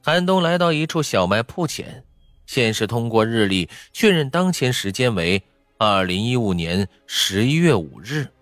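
A man's voice: mid-range voice, big pitch swings, normal speed, fairly bright tone, no echo, studio quality. A man narrating fiction from a script as a dramatic audiobook. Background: faint background music.

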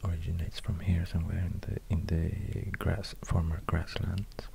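A man with a Swedish accent speaking in soft ASMR whispers, halting and murmuring as he goes. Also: sits low, level tone, vocal fry, a little hiss or hum. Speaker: ASMR video host. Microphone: close binaural microphone.

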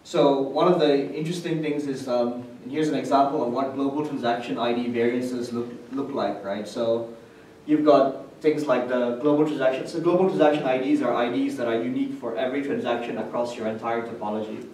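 A man's voice: medium pitch, fairly even intonation, fairly quick, a little mellow. A man giving a technical conference presentation in an explanatory manner, often checking his points with a short tag question. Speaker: conference speaker. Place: indoor hotel conference room with projector screen. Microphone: distant camera microphone. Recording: echoey room, distant mic, faint noise.

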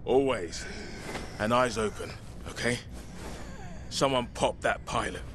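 A man's voice: medium pitch, slow pace, noisy background, natural voice. A man playing a cocky, theatrical survivor hero in a video game, with a wry, dramatic delivery. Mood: sober resolve. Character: cocky, theatrical male zombie-apocalypse survivor turned hero.

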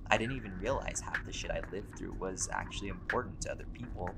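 A man's voice: sits low, fast, vocal fry, very noisy. Man acting as laid-back, wry centuries-old vampire. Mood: reflective, candid. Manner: casual, wry, sweary, bantering. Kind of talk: conversational roleplay audio drama.

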